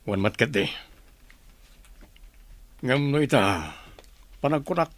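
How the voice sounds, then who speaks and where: A man's voice: medium pitch, expressive, slow. A man playing the commanding leader of a secretive group in a radio drama, speaking with authority. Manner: authoritative, instructing.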